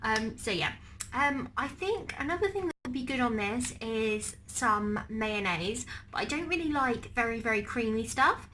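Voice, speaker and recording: A woman's voice: fairly high pitch, expressive, normal speed, bright, forceful tone, slight background noise. Chatty young woman with a cold. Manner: chatty and rambling, many ums and trailing restarts. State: has a cold, slightly wheezy. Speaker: vlogger. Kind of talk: casual cooking vlog and eating on camera.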